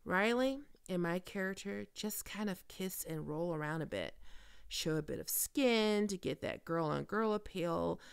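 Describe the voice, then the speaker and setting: A woman's voice: lowish voice, animated, measured pace, gravelly, clear, resonant tone. A woman playing a famous, cocky and flirty actress in an intimate, teasing, confiding ASMR roleplay. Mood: earnest, scheming. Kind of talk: intimate ASMR audio roleplay, one-sided conversation.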